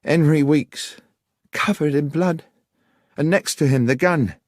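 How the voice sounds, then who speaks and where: Man voicing an anxious young country woman, high-pitched. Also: dry recording, clean studio sound, close to an everyday voice. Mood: anxious, earnest. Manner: rustic grammar, deferential, halting. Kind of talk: audiobook character voice.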